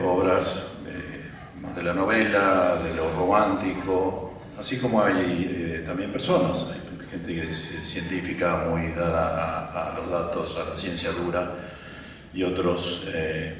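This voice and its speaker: Deep-voiced man speaking in an echoey hall. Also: a bit bright, recorded from a distance, a little hiss or hum. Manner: hesitant, many fillers and restarts. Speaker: speaker at a book presentation. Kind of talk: public speech, rambling.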